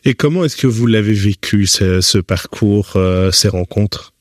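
A man's voice: fairly low pitch, measured pace, dry recording, studio quality. A man interviews guests at a guest's home, asking short, plain questions.

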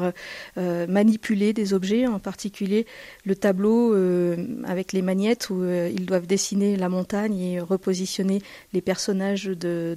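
A woman, a nun, calmly explaining children's activities in an interview. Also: mid-range voice, no room sound. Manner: calm, explanatory, with fillers. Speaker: nun running a children's welcome center. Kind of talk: interview answers.

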